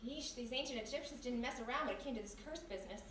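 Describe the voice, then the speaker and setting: A woman with an American accent playing a sassy, quick-witted girl Friday in a radio mystery, brash and slangy. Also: on the high side, a bit bright, close to an everyday voice. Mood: sassy, needling. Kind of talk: live 1940s-style radio mystery acting. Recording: noisy echoey hall, distant stage mic.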